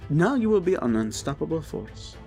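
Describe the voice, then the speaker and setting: A man playing a gruff, hearty dwarf king and armorer in a fantasy audio drama, blunt, proud and commanding. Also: fairly high pitch, big pitch swings, normal speed, a little mellow, soft background music. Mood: proud, matter-of-fact. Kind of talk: fantasy audio roleplay acting.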